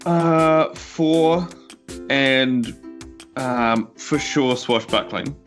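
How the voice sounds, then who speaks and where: A man with a New Zealand accent improvising his part in a tabletop game, halting, wry and wordy. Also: medium pitch, fairly bright tone. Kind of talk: casual improvised storytelling. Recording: music playing behind the voice. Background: background music.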